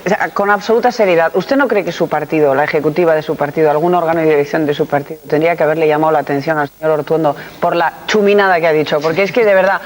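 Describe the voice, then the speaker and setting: A man's voice: on the high side, rapid-fire, hardly any pauses. A man on a TV debate panel who presses the guest bluntly and with sarcasm. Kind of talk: televised political debate. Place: TV studio.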